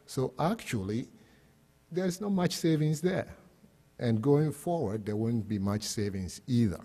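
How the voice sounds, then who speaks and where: A man's voice: mid-range voice, expressive, a little slow, warm tone, slightly rough. A man from Ghana with a Ghanaian accent, giving an explanatory, plain-spoken panel presentation as an academic speaker. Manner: explanatory, plain-spoken, poses rhetorical questions. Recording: echoey hall with long reverb.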